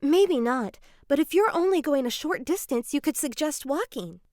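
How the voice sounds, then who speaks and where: A woman giving an expressive, explanatory dramatized reading of an educational children's story. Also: high-pitched, moderate pace, no room sound, clean studio sound. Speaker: children's book reader.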